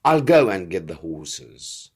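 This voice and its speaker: A man voicing a determined soldier in a storybook reading, forceful, urgent and persuasive. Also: sits low, sing-song, slow pace, breathy edge, full character voice. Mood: firm, rousing. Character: commanding, determined male soldier urging a plan.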